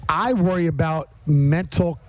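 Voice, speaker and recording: Informal, higher-pitched male podcast host. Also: a little slow. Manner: informal, interjecting, plain-spoken. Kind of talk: casual chat. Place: on location at a garage.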